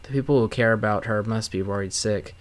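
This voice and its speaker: A man's voice: fairly low pitch, brisk. A man with an American accent, a game streamer reading visual novel narration aloud. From Missouri, United States. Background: guitar music.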